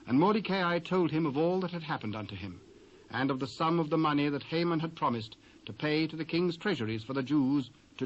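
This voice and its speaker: A British man narrating scripture in a solemn, measured, dramatised style. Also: on the high side. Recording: dull old cassette recording.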